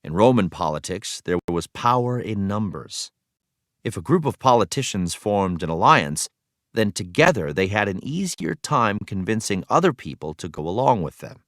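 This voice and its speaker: Guy with a low voice doing a teacher read-aloud, really varied intonation, explains stuff clearly. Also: sing-song, normal speed, fairly bright tone, no room sound, studio quality. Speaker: teacher reading a lesson aloud. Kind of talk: read-aloud educational narration.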